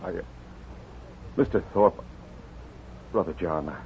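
An actor playing an earnest, grateful young frontier doctor, sincere and a little hesitant, in a 1950s radio western. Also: male voice, low voice, takes it slowly, gravelly, exaggerated voice. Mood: apologetic, earnest. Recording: tinny, noisy 1950s radio recording.

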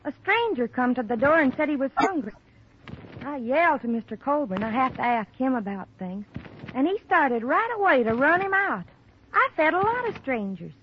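High-voiced troubled country hired girl. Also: big pitch swings, normal speed. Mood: shaken, reluctant. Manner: plain uneducated country speech. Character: troubled, defensive young ranch hired girl, about twenty. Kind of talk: 1950s radio drama acting. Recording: muffled old radio recording, faint noise.

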